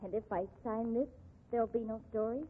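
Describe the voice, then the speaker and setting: Desperate, wronged female bookkeeper played by an actress, radio melodrama: husky, slightly high, thin vintage sound. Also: fairly even intonation, brisk, natural voice. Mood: resigned. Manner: earnest, pleading, emphatic. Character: accused female office bookkeeper, desperate blackmail victim. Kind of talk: 1950s radio drama acting. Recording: tinny band-limited 1950s radio recording.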